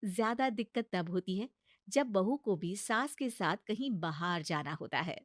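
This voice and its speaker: A woman's voice: mid-range voice, lively intonation, unhurried, no echo, clean studio sound. A woman giving scripted narration for a comic family story.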